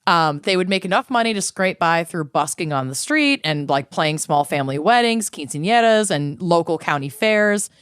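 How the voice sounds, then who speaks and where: A woman's voice: fairly low pitch, lively intonation, moderate pace, few pauses, smooth, no room sound, studio quality. A woman co-hosting a podcast, telling a story in a casual chatty way, swearing freely and saying "like" often. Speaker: podcast co-host.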